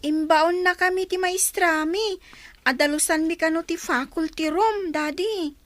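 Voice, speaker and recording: A woman's voice: very high-pitched, a little slow, fairly bright tone, dry recording. A woman playing a young schoolgirl daughter who pleads and explains earnestly in a radio comedy-drama. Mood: defensive.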